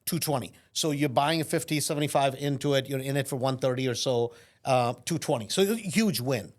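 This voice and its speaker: A man's voice: medium pitch, fairly quick. Blunt, talkative male podcast host. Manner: blunt, animated, tags questions with "right?". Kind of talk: conversational interview.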